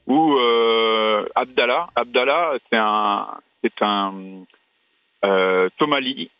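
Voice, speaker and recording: A man from Brittany, a traveller being interviewed on radio, telling anecdotes in an animated, searching way with small restarts. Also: mid-range voice, slow pace, clear, resonant tone, no echo, lo-fi sound. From Brittany, France.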